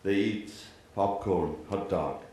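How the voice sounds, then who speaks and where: Slow, deep-voiced man with an Iraqi accent. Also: darkish tone. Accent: Iraqi Arabic. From Iraq and Kuwait. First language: Arabic. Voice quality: frequent throat clearing. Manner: deliberate, measured phrasing with pauses and repeated parallel sentences. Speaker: imam giving a speech. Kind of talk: formal public address. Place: large indoor venue, speaking to a gathering. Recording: very echoey hall, faint background noise.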